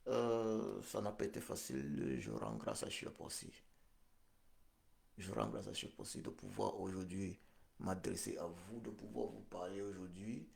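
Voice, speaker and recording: A middle-aged man speaking in a very gravelly, low voice. He is still convalescing and talks slowly and solemnly, repeating himself and pausing on fillers as he hosts his live stream. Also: animated, darkish tone. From Cameroon. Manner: solemn, slow and repetitive, with many fillers. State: convalescent after hospital stay. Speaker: political livestream host. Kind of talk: live-stream political address.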